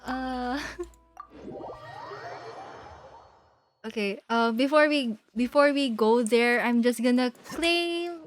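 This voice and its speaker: A woman game streamer chats casually in a fairly high voice. She talks slowly and haltingly, giggly and repeating words, with faint music behind her. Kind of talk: casual live stream commentary.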